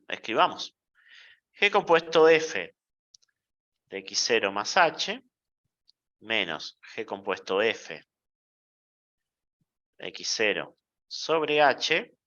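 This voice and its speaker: A man giving a university math lecture in a playful, deliberate way, checking in with the class often. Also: on the high side, bright, forceful tone, dull audio.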